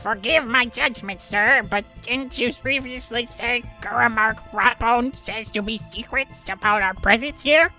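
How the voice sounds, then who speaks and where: A man voicing a stout little goblin servant, timid and eager to obey, with halting, stammering speech and broken grammar. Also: extremely high pitch, bright, forceful tone, faint music behind, voice pushed up, full character voice, poor audio. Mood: nervous, hesitant.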